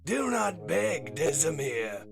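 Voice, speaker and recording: A man voicing a vengeful, tyrannical robot warlord, gravelly, domineering and theatrical, in a comic reading. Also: fairly high pitch, sing-song, takes it slowly, faint music behind, voice pushed up, exaggerated voice. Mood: mocking, domineering.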